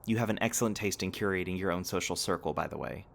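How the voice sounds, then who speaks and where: A man's voice: deep voice, fast talker, vocal fry. A man playing a polite, curious companion robot in an ASMR roleplay, speaking formally and precisely with a literal-minded courtesy. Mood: curious, thoughtful. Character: polite, curious, eager-to-please male humanoid companion robot. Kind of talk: ASMR roleplay audio drama acting.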